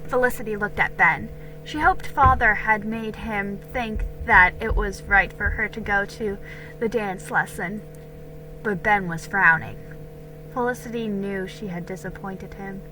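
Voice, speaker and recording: A woman's voice: mid-range voice, unhurried, bright, forceful tone, soft background music, low-quality recording. A woman giving a dramatic narration of a storybook as its reader.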